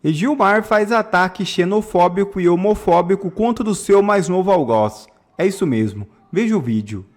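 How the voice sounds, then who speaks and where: A man hosting a news channel, reading political news in a formal, steady way with indignant asides. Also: high-pitched, normal speed. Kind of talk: read news commentary.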